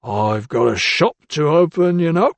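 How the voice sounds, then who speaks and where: A man's voice: fairly high pitch, expressive, a little slow, creaky, no echo, pitched above the performer's own voice. A man voicing a timid, grasping ironmonger with a thin, bleating voice and a wheedling, deferential manner. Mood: sly. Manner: wheedling and deferential, keeps saying sir. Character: timid, grasping male shopkeeper, working class.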